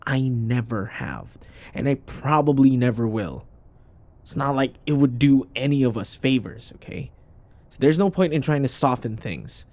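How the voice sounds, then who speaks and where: A man's voice: medium pitch, lively intonation, normal speed, a little mellow, dry recording, close to an everyday voice. A man playing a sarcastic, irritable office rival who taunts and scoffs, in a scripted boyfriend audio roleplay. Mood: taunting. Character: snarky, irritable male office rival, teasing and combative.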